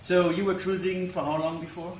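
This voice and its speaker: A man interviewing cruisers in a chatty, agreeable, somewhat halting way. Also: sits high, flat delivery. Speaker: interviewer, sailing channel host. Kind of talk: casual interview questions. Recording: echoey veranda with background noise.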